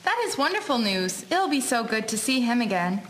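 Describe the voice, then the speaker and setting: An actress playing a gentle young woman, a visiting friend, in a scripted audio drama. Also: a little high, sing-song, fairly quick. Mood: pleased, curious. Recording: very noisy ambient recording.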